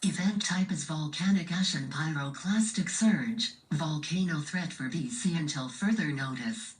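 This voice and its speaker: A low-voiced woman delivers an official emergency announcement. Her reading is measured and impersonal, with a roomy echo around every phrase. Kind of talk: formal scripted emergency broadcast announcement.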